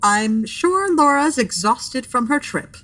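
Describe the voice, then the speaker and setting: A woman's voice: high voice, sing-song, slow pace, fairly bright tone, exaggerated voice. An actress playing a stubborn, defensive woman who gets flustered when challenged.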